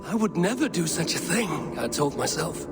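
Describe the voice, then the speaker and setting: An earnest Viking warrior speaks in measured, solemn phrases. The game cutscene voice has long reverb and sits over loud music. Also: male voice, a little high, sing-song. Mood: remorseful. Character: earnest, remorseful Viking warrior, loyal younger brother. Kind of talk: dramatic game cutscene acting.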